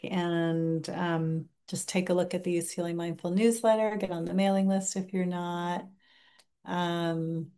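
A woman teaching a mindfulness class over a video call, leading a guided reflection in a gentle, unhurried way with frequent pauses. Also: on the low side. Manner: gentle, unhurried, pausing often.

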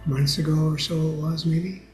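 A soft-spoken man with a low, quiet voice, teaching mindfulness and guiding a meditation gently, with pauses and fillers over a video call. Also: little pitch movement, roomy sound, faint music behind, low-quality recording. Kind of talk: lecture and guided meditation.

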